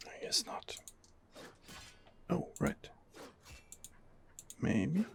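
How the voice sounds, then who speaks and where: A man quietly narrating his role-play game in a breathy ASMR whisper, musing and rambling hesitantly, close to the microphone. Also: extremely slow. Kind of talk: ASMR gaming commentary.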